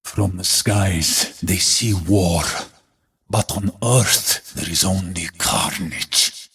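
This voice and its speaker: Battle-scarred game warrior: low, very husky, solemn, defiant, heroic declamation. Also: male voice, slow, a bit bright, heavily acted. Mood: grim resolve. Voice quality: husky, rough-edged. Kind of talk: heroic video game voice acting.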